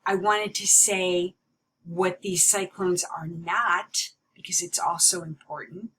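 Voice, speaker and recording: A woman giving an explanatory lecture as a teacher, in a deliberate, emphatic way that repeats key points. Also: lowish voice, pushed and bright, slight background noise, poor audio. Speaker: meteorology teacher.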